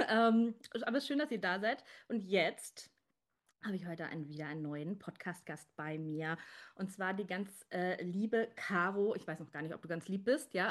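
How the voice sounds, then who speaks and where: A woman hosting a casual podcast interview, chatty, with many fillers and restarts, and slightly congested from a cold. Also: mid-range voice. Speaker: podcast host.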